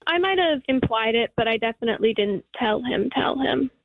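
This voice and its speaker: Woman, caller, unimpressed, higher voice, phone-line sound. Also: fairly quick, creaky, dry recording. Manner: flat, unimpressed. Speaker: caller on a dating segment. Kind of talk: casual phone chat.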